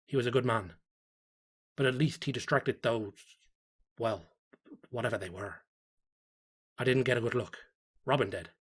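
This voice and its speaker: Guy acting a fervent cult follower reading diary, husky voice, solemn and measured, horror audio story. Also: mid-range voice, close to an everyday voice. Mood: grave, devout. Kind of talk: dramatic horror story narration.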